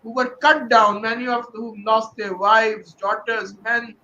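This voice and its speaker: A man's voice: sits high, fairly even intonation, a little slow, bright, forceful tone, roomy sound, highs cut off, slight background noise. A man discussing his translation of a novel, rambling with constant "you know" fillers. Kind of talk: virtual panel discussion.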